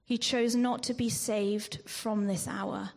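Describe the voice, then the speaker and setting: A woman preaching a sermon in a measured way, with ums and restarts, sometimes dropping to a whisper on scripture. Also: mid-range voice, fairly even intonation, gravelly. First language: not Greek. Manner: slow, measured delivery with frequent ums and restarts.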